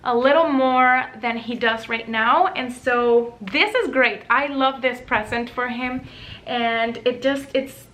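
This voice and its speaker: A woman's voice: on the high side, steady tone, slow pace, a bit bright. A young woman with a Puerto Rican accent, whose first language is Spanish, casually showing off workbooks and rambling with lots of "um" and "like". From Puerto Rico. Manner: rambling, many "um" and "like". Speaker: homeschooling mom vlogger. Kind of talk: casual show-and-tell.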